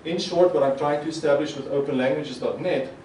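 A man's voice: a little high, a little fast, background noise. Man lecturing in an echoey hall. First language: Afrikaans. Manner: animated, gestures a lot, acts out quoted reactions. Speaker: conference presenter. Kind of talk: conference talk. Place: conference hall with projector screen. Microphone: podium mic. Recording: echoey hall, mic a bit far.

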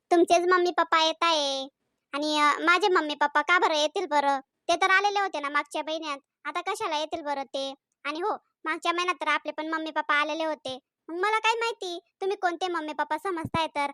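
Woman voicing a bright, high-pitched, scolding young cartoon housewife. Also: no echo, studio quality. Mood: defensive. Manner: chatty, insistent, scolding. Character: young married housewife, quick to take offense. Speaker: wife. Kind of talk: comedy cartoon voice acting.

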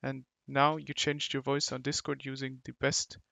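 A man narrating a step-by-step software tutorial in short, halting instructional phrases into a close mic. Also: mid-range voice, monotone, creaky, dry recording. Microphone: close mic, screen-recording voice-over.

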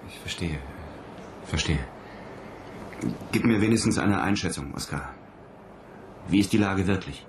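Low-voiced, insistent astronaut commander. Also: male voice, unhurried, long pauses, background noise. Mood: tense, insistent. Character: weary, insistent male astronaut commander in orbit. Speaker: actor in a radio drama. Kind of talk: German radio play acting.

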